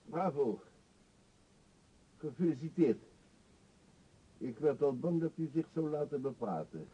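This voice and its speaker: An actor playing an insinuating stranger who approaches a traveller in a radio play. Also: male voice, fairly high pitch, expressive, a little slow, warm tone, husky. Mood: congratulatory. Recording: muffled old radio drama recording.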